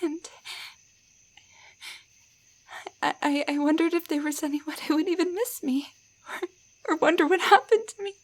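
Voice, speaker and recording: High-voiced timid waitress turned doll, acted by a woman. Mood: fearful, sad. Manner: halting, breathy, stammering. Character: timid young waitress shrunken into a doll, shaken and grateful. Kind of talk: roleplay audio drama monologue.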